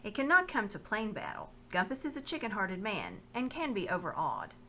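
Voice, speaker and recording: A woman's voice: medium pitch, big pitch swings, moderate pace, sharp tone, a little hiss or hum. A woman reading the part of a loyal middle-aged nobleman, speaking earnestly and courteously.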